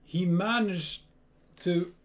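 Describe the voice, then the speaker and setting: A man's voice: sits high, over loud music. A man in his thirties from Sweden with a Swedish accent, chatting casually in a reaction video at home and often repeating words. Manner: slow, repeats words, restarts. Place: small home room full of gear.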